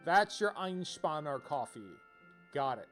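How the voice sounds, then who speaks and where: A man with a fairly high voice chats through a game on a livestream. He rambles casually, thinking aloud, with music playing behind him. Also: animated, unhurried, a bit bright. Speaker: game streamer.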